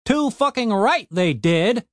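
A man's voice: high-pitched, lively intonation, takes it slowly, a bit bright, dry recording, clean studio sound, voice pushed up, heavily acted. A man voicing a crippled male gnome innkeeper who talks bluntly and swears a lot. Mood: angry.

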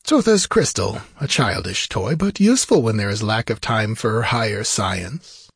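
A man's voice: on the low side, expressive, measured pace, creaky, husky, no room sound, studio quality, heavily acted. A man voicing a sly, world-weary, pleasure-loving sorcerer with an eloquent, theatrical manner in a fantasy audiobook. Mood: knowing, explaining.

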